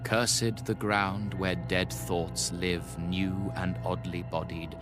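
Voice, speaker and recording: A man voicing a mad ancient occult scholar in a horror story, reciting forbidden lore in a slow, portentous incantation. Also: sits low, little pitch movement. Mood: grave, menacing. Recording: music under the voice.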